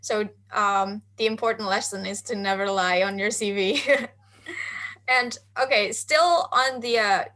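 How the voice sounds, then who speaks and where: A woman's voice: mid-range voice, measured pace, bright, forceful tone. A woman moderating a panel, reading out audience questions with many "um"s. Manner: hesitant, lots of "um"s.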